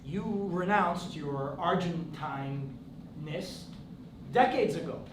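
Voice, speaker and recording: A man's voice: a little high, slow pace, recorded from a distance. A man playing a wry, needling brother. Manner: dry, needling banter. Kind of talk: stage play acting. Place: theatre stage. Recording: roomy stage recording from afar with noise.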